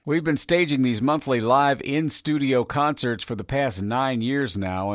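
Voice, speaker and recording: Male radio host, measured scripted announcer read, mid pitch. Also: no echo, clean studio sound. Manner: measured, even announcer reading.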